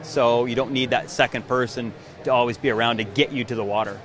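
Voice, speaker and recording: Middle-aged guy talking up his canoes at a busy indoor expo booth, handheld mic, eager sales pitch. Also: fairly even intonation, fairly quick, fairly bright tone. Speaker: canoe maker. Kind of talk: trade show interview. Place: indoor trade show booth. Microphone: handheld mic held by interviewer.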